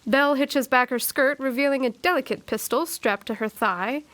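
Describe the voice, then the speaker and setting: Audio drama narrator, female actor, slightly high voice, conversational and playful, dry asides. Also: normal speed, a bit bright. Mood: wry. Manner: wry, chatty asides. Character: wry, chatty female narrator of a murder mystery.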